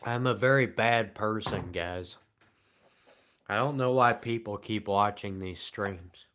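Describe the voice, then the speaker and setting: A man streaming live and answering the chat in a flat, deadpan, sarcastic way, with long pauses between remarks. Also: fairly low pitch, a little hiss or hum.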